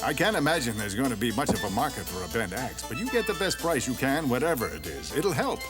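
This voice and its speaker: A man voicing a stern but caring aging woodcutter father in an animated fairy tale. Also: medium pitch, quick pace, close to an everyday voice. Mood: resigned. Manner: scolding, grumbling, fatherly. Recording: music under the dialogue.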